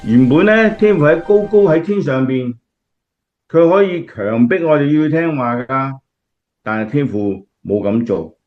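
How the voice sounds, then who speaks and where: A man's voice: medium pitch, expressive, unhurried, soft background music, close to an everyday voice. Man playing an earnest Cantonese preacher, slightly muffled. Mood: earnest preaching. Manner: explanatory, earnest, emphatic. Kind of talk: radio drama acting, sermon-like.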